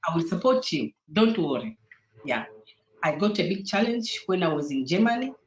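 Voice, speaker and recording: A woman's voice: on the low side, very animated, moderate pace, a little hiss or hum. Tanzanian woman on a roomy, dull call. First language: Sukuma. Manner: hesitant, many fillers and restarts. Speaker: panel guest, engineer. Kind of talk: online panel discussion. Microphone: video call.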